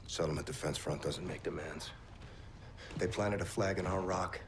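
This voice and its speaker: A man's voice: deep voice, moderate pace, background noise, lightly acted. A man playing a hard-bitten, indignant military officer in a video game, speaking in blunt, emphatic phrases. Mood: stern. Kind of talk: video game cutscene acting.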